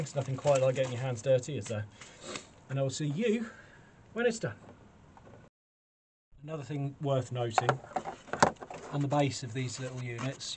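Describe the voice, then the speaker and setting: A man in his forties with a British accent giving a casual, chatty, self-deprecating gardening demonstration to camera. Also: mid-range voice. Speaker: allotment gardening vlogger. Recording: clearly noisy camera sound with clicks.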